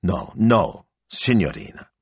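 A man voicing an excitable, anxious shopkeeper with a flustered, urgent, over-polite way of talking in an audiobook. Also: low-pitched, slow pace, slightly rough, no room sound, full character voice. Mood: placating. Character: excitable, anxious, fussy male Italian shop owner.

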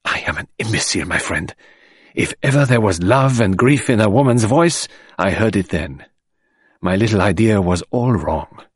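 A man's voice: fairly low pitch, lively intonation, moderate pace, breathy edge, no echo, studio quality. A man voicing a precise, courteous elderly Belgian detective. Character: fussy, sharp-minded elderly Belgian detective.

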